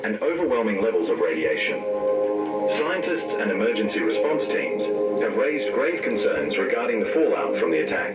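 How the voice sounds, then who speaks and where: A man playing a grave news anchor, delivering a formal, measured scripted emergency bulletin in an analog horror drama. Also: fairly low pitch. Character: grave male news anchor reporting a disaster. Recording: muffled lo-fi broadcast, loud music.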